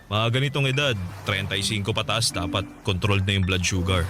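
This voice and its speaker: An actor plays an easygoing Filipino single dad of about thirty-five in a radio romance drama. His low voice is casual and chatty, with halting pauses. Clear background noise sits behind him. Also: natural voice. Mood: joking, relaxed. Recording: noticeable noise and ambience behind voice.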